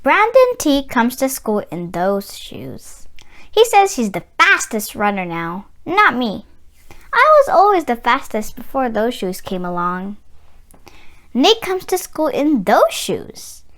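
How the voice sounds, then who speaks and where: A woman with a high-pitched voice reads a storybook aloud for children. She takes her time over each phrase, swinging widely up and down in pitch. Her delivery is deliberate and expressive. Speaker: children's read-aloud channel host. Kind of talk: slow, expressive picture-book read-aloud for children.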